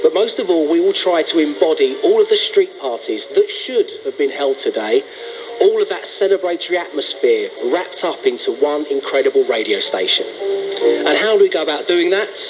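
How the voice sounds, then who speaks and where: A man's voice: fairly high pitch, animated, normal speed. A British man presenting on radio in a scripted style. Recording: dull band-limited AM radio broadcast. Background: music.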